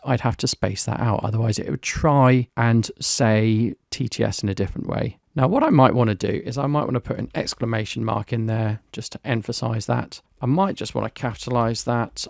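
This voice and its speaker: Gravelly British man explaining software. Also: mid-range voice, no echo, studio quality. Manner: plain, explanatory, lightly chatty. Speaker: software reviewer. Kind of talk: screen-recorded software tutorial.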